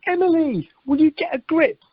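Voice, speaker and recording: A woman's voice: sits high, moderate pace, dry recording, close to an everyday voice. A woman playing a sensible, exasperated young husband in an amateur radio play over the telephone. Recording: muffled telephone conference line.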